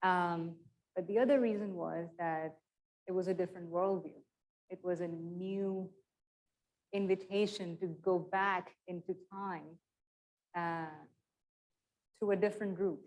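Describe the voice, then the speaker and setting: A woman's voice: lowish voice, fairly even intonation, a little mellow, low-quality recording. Thoughtful woman answering lecture questions. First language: not Persian. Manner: thoughtful, measured, with hedges and restarts. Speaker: guest lecturer. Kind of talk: academic Q and A answers. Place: lecture room.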